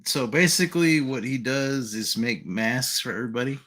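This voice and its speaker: A man chats casually as a co-reader on a read-along stream, easygoing and quick to laugh along. His voice has a bright, forward edge. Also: mid-range voice, expressive.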